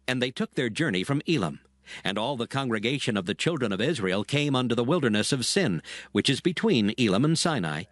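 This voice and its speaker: A man narrating scripture in a measured, scripted reading. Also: mid-range voice, no echo. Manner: measured, lively intonation. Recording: music bed behind.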